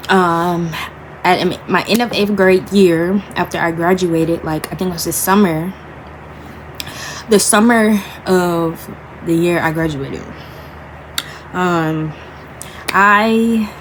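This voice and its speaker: A woman's voice: lowish voice, a little hiss or hum, rough recording. A young woman from Florida telling her own story in a casual storytime vlog, hesitant, with many fillers and restarts. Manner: halting, many fillers and restarts, keeps asking "you feel what I'm saying". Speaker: vlogger.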